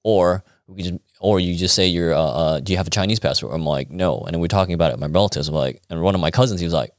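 American male podcast guest, deep voice, dry close sound, colloquial raconteur who voices both sides of a conversation. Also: rapid-fire, dry recording. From United States. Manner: animated, colloquial, acting out quoted dialogue. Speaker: interview guest, acupuncturist. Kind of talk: casual conversational storytelling.

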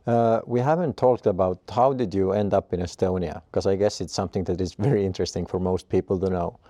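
A man interviewing a guest, asking slow, measured questions. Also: low voice, warm tone, no echo.

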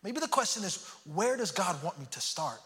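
A man's voice: sits high, very animated, moderate pace, sharp tone. A man from Houston preaching a sermon, earnest and prone to repeating words as he starts a thought. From Houston, Texas. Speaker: pastor. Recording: long hall reverb.

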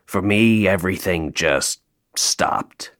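An actor playing a jaded, sardonic male elf toymaker in an audio play, deadpan, dry and downbeat. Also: low voice, slow pace. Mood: bitter, wounded.

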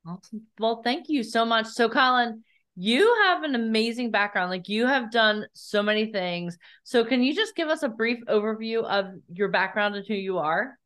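A woman's voice: fairly high pitch, animated, moderate pace, a bit bright. A woman hosting a podcast, chatting in an effusive, friendly way with her guest. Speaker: podcast host. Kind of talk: casual interview talk.

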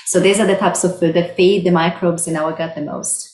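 A woman's voice: fairly low pitch, steady tone, fairly quick, darkish tone. A middle-aged woman from Brazil with a Brazilian accent, explaining her research plainly as an interview guest. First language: Portuguese. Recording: roomy, echoey sound.

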